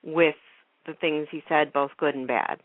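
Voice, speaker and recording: A woman's voice: low voice, steady tone, unhurried, dry recording. A woman hosting a casual talk radio show, chatting informally. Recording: narrow phone-like internet radio call.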